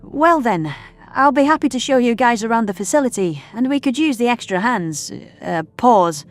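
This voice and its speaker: A woman playing a patient human scientist in an audio drama, explaining things in a measured, firm way. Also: fairly high pitch, lively intonation, natural voice. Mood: firm, friendly. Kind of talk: audio drama character acting. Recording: music under the voice.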